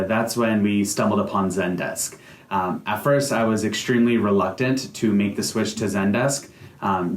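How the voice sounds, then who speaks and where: A man from the United States, a company founder giving a customer testimonial, speaking earnestly with many "uh" and "um" fillers. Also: on the low side. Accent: American. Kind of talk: testimonial to camera, semi-prepared speech. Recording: echoey room.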